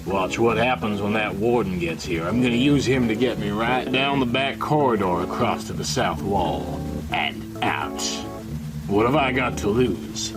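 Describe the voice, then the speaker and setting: A man performs a desperate, defiant convict from a horror comic, talking like a tough, slangy gangster. The delivery is slow and ruthless, with prominent music underneath. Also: on the low side, lightly acted. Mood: defiant, scheming. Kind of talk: dramatic horror comic reading.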